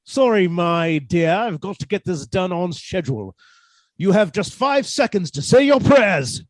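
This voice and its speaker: A man's voice: high-pitched, expressive, unhurried, no room sound. A man playing a henpecked husband turned murderer in a radio suspense drama, fussy, precise and politely menacing. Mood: gloating, menacing.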